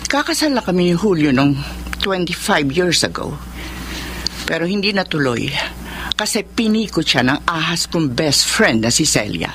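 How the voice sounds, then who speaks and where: A woman's voice: fairly low pitch, big pitch swings, normal speed, a bit bright, a little hiss or hum. A woman with a Filipino accent playing a stern, vengeful middle-aged boss in a radio drama, commanding and slipping into English. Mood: bitter, scheming. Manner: commanding, code-switching into English. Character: stern, vengeful older female boss, jilted long ago. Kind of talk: radio drama acting with narration.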